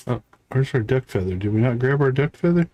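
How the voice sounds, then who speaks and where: A man's voice: mid-range voice, lively intonation, a little fast, mellow. A man giving casual live gameplay commentary, thinking aloud and chatting with viewers as he plays. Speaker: live streamer playing a farming game.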